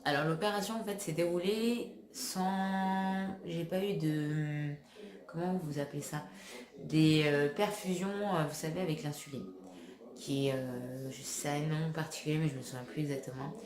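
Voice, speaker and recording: A woman's voice: low-pitched, steady tone, moderate pace, darkish tone, echoey room. A young woman from France with a stuffy head cold, chatting casually to her phone camera in a rambling way, full of fillers. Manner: rambling, many fillers and restarts. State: congested with a cold. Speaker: vlogger. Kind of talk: casual vlog talk to camera.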